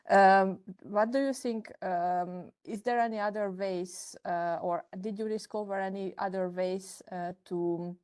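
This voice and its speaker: Hesitant Hungarian-accented woman, lowish voice. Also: a little mellow. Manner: halting, many fillers and restarts. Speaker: webinar participant asking questions. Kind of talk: hesitant spoken questions.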